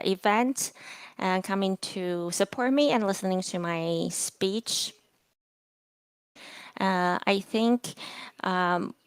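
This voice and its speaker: A woman giving a public lecture in a measured, earnest way, pausing often with fillers. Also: mid-range voice, smooth, dry recording. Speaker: guest lecturer. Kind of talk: public talk.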